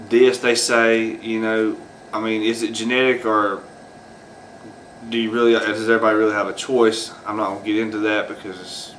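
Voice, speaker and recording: A man's voice: on the low side, fairly bright tone, a little hiss or hum, low-quality recording. A man talking off the cuff as host of his own video, rambling with many fillers and restarts. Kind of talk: unscripted solo commentary.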